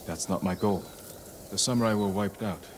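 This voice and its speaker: An actor playing a stoic, resolute samurai in a video game, speaking in a measured, grave, commanding way. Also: male voice, sits low, slight background noise, close to an everyday voice. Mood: grave, steady. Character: stoic, resolute samurai man leading a town's defence. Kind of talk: dramatic game cutscene dialogue. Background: music.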